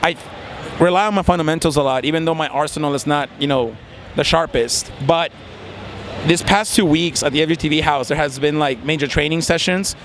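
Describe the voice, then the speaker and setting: A man's voice: a little high, a little fast, fairly bright tone, a little hiss or hum. A man in his twenties from Mexico, speaking in a casual interview outdoors, earnest and reflective with many fillers. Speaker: interviewee, competitive gamer and law student.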